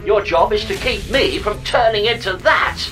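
An actor playing a smug, sardonic artificial pod companion with a deadpan, wry manner in a comic sci-fi audio drama. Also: mid-range voice, normal speed, close to an everyday voice. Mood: dry, frank. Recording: music under the voice.

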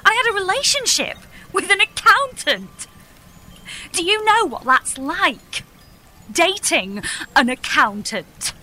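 A woman's voice: extremely high pitch, sing-song, takes it slowly, sharp tone, heavily acted. An actress playing a wounded, exasperated daughter in her thirties in an audio comedy, bluntly pressing for answers. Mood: hurt, aggrieved. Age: 30s. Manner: blunt, insistent, aggrieved. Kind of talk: audio comedy drama acting.

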